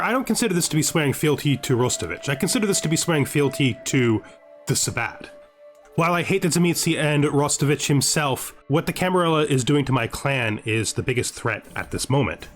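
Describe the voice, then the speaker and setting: Guy talking through his strategy game, mid voice, mulls stuff over and repeats himself, music behind him. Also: medium pitch. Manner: deliberative, repeats himself, often checks with "right?". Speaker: gaming host playing a strategy game. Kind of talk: thinking-aloud gameplay commentary, part in character.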